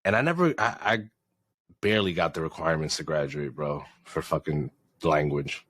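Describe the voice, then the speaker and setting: A young father co-hosting a podcast in casual chat, swinging from low muttering to sudden shouts and swearing a lot. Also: normal speed, dry recording. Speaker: podcast co-host.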